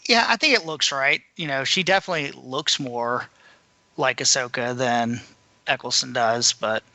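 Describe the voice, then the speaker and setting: A man's voice: medium pitch, moderate pace, gravelly, bright, forceful tone, dry recording. A man running through news in a casual, informal way as a podcast panelist. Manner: informal, conversational. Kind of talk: casual news rundown and discussion.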